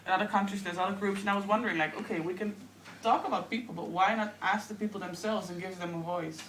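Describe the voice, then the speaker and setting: A woman's voice: mid-range voice, fairly even intonation, fairly bright tone, roomy sound, recorded from a distance, a little hiss or hum, rough recording. A woman in her thirties with a Dutch accent, a guest on a radio interview, talking in a chatty way and saying "like" often. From Netherlands. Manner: chatty, quick, uses "like" often. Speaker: guest, anthropologist.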